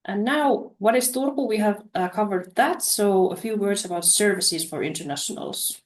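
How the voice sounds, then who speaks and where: A woman's voice: mid-range voice, normal speed. Hesitant woman presenting in an echoey room. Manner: hesitant, many uh fillers and restarts. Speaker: study promotion presenter. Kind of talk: webinar slide presentation.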